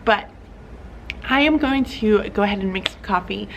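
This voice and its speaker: A young woman talks through her school day as a teacher, chatty and upbeat, full of little fillers. Her voice sits at an ordinary middle pitch and is caught by a camera mic in a classroom. A faint hiss of background noise runs under it. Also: moderate pace, dull audio. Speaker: teacher vlogger. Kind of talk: casual classroom vlog.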